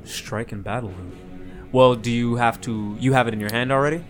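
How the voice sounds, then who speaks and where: A man's voice: mid-range voice, fairly even intonation, fairly quick, faint music behind. A man working as game master, narrating a tabletop game casually and playfully.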